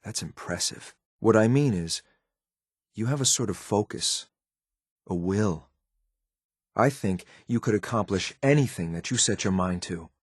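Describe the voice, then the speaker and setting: A man acting as a stoic, loyal but ruthless synthetic soldier in a video game, with a clipped, military, deadpan delivery. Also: on the low side, a little slow, long pauses, husky, no echo, clean studio sound. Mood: earnest, restrained. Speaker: companion character in a video game. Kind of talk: scripted game voice lines.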